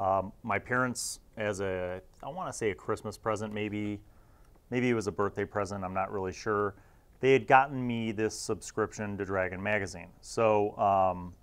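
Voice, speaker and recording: Low-voiced American man, reflective. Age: 40s. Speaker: host. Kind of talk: casual review talk to camera.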